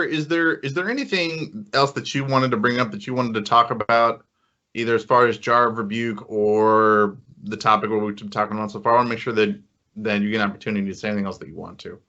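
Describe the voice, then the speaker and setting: A man's voice: lowish voice, pushed and bright. A man co-hosting a podcast in casual banter, with an emphatic manner. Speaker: podcast co-host. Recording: dull lo-fi podcast audio.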